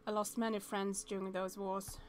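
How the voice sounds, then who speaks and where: A woman's voice: medium pitch, flat delivery, normal speed, a little hiss or hum. A woman streamer reading a visual novel aloud, stumbling over words and chatting to viewers between passages.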